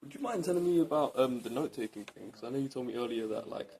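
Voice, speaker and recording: Very low, gravelly woman interviewer. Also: animated, fast, dark tone. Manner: probing follow-up questions. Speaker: student interviewer. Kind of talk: class interview.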